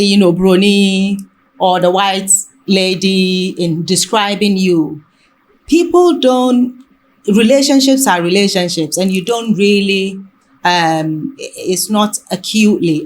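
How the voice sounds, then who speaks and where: A woman talking reflectively in conversation, haltingly and often rephrasing mid-sentence. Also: medium pitch. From Ghana.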